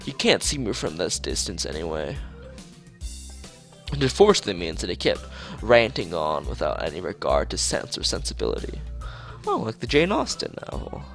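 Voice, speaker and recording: A man's voice: deep voice, wildly swooping pitch, normal speed, gravelly. A man streaming a visual novel, reading the narration aloud and adding casual remarks. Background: soft background music.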